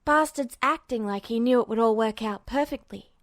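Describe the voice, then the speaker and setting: A woman reads as a gruff young man in a fantasy audio story. He is proud and irritable, with a curt, sarcastic, muttering delivery. The recording is dry and close. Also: a little high, normal speed, no echo, close to an everyday voice. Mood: irritated, sarcastic.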